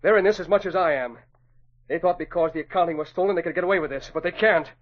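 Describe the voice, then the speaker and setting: An actor playing an agitated, indignant stockbroker in emphatic 1940s radio style. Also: male voice, on the high side, fast, natural voice. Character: indignant male stockbroker, betrayed and vengeful. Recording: muffled vintage radio recording.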